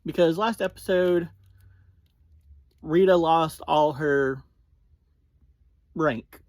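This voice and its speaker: A podcast host gives a casual, conversational review at a slow pace. The delivery is halting, broken into short phrases. The voice sits at a medium pitch and is recorded close and dry, with very little room sound. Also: no room sound. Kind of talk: casual episode review and recap.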